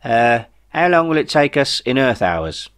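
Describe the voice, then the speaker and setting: A man voicing an excitable, grumpy young man with whiny, slangy speech in a comic sci-fi audiobook. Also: mid-range voice, a little slow, clean tone, voice pushed up. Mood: puzzled. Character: excitable, grumpy young human man who loves beer.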